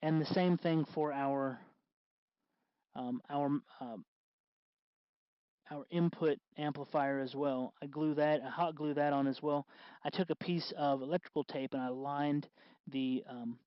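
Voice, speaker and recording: A man hosting a casual hands-on DIY tutorial, explaining in a halting, unscripted way, with slightly muffled sound. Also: on the high side, fairly even intonation, clean tone, no echo. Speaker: host of DIY electronics tutorial.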